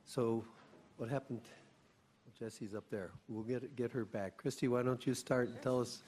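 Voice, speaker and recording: A man chairing a city council meeting, inviting everyone around the table to speak in a loose, unhurried way with many fillers. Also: medium pitch, fairly even intonation, darkish tone, a little hiss or hum. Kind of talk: meeting small talk, running the table. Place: council chamber, table mics.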